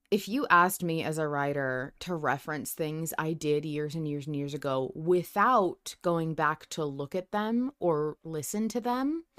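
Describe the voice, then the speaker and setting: A woman's voice: sits low, a bit bright, clear, resonant tone. A woman co-hosting a podcast in casual fan discussion, informal and sweary in how she talks. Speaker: podcast co-host.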